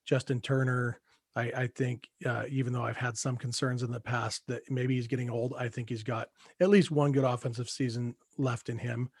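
A man co-hosting a casual sports podcast, giving measured, thoughtful analysis with hesitant restarts. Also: mid-range voice, level tone, slightly rough, no echo.

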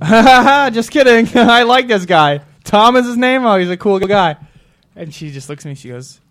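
A man's voice: high voice, lively intonation, normal speed, a bit bright. A man hosting a casual talk show, telling rambling stories and laughing through them. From Montana. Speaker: show host. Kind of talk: casual storytelling chat.

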